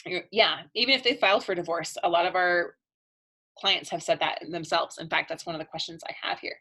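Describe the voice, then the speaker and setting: A woman's voice: lowish voice, brisk, pushed and bright. Upbeat woman coach, losing her voice. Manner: upbeat, chatty, laughs between points. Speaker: marriage coach. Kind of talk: live online webinar pitch.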